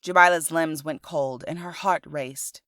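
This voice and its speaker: A woman narrates a scripted story in audiobook style. Her pitch sits a little low for a woman. She is the storyteller, reading prose for a podcast. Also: fairly even intonation, measured pace, fairly bright tone, no room sound, clean studio sound.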